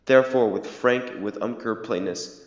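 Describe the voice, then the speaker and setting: A man's voice: medium pitch, moderate pace, lightly acted. A young man playing a resolute, regal English king in a Shakespearean audio drama, speaking formal, declamatory verse. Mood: stern, commanding. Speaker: amateur student actor. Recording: muffled, echoey hall reverb under music.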